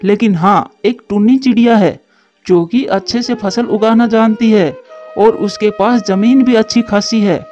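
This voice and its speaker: A man's voice: high-pitched, a little slow, a little mellow, lo-fi sound, soft background music. A man voicing a helpful, dutiful crow in a children's cartoon fable, speaking earnestly and emphatically. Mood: friendly, explaining. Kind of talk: Hindi cartoon voice acting.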